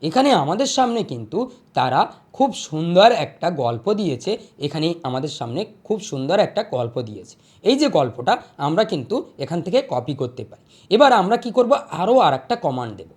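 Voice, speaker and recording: A host narrates a how-to video step by step. The pitch swings widely and animatedly. Phrases get repeated, with small check-ins to the listener along the way. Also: very animated, brisk, darkish tone. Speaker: tutorial host. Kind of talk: step-by-step tutorial voice-over.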